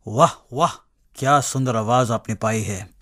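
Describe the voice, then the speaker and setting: A man's voice: mid-range voice, unhurried, fairly bright tone. A man putting questions to a guest in a radio interview.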